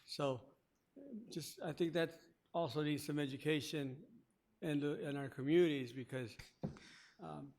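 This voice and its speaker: A man's voice: fairly high pitch, steady tone, a little slow, pauses often, darkish tone. A man on a county board asking questions and urging caution, explaining points at length at a public meeting. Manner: cautionary, explanatory, thinking aloud. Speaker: board member.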